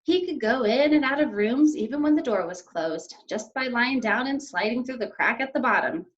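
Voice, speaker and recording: A woman teacher reads to children in an expressive, explanatory manner. Her voice is fairly high with lively swings in pitch, on a slightly dull, lo-fi recording. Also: moderate pace. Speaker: second-grade teacher reading aloud. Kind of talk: children's read-aloud with explanations.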